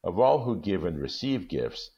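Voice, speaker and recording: A man speaking as an expressive story reader, in a literary storytelling style. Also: low voice, normal speed, highs cut off.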